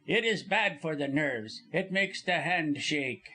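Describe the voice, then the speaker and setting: A man's voice: a little high, expressive, takes it slowly, bright, forceful tone, full character voice. A man doing a suave Austrian gambler. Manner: polished, courteous, formal. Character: smooth suave Austrian card-sharp, young man.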